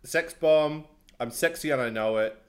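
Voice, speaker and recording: A man leads a relaxed podcast chat. He laughs easily and trips over his words, circling back with quick restarts before he lands the question. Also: a little high. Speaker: podcast host.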